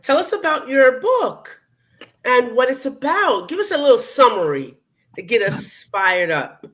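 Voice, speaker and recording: A woman's voice: high voice, very animated, unhurried, fairly bright tone. An older woman, hosting an interview show, who talks with enthusiasm, repeats herself and asks for plain explanations. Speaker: host. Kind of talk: casual interview.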